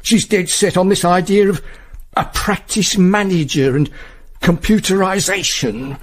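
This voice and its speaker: An actor playing a British senior clerk with a husky voice, deferential and prickly, in a radio drama. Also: male voice, sits high, a little slow. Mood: aggrieved. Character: aggrieved, deferential male senior clerk of chambers.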